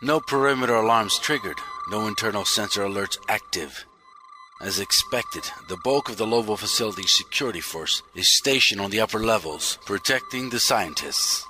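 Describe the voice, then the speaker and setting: A man plays a military major in a science fiction audio drama. He speaks formally and factually in a fairly low voice, with clear background noise around him. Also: normal speed, natural voice. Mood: businesslike. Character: matter-of-fact male military major giving reports. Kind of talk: sci-fi fan audio drama acting.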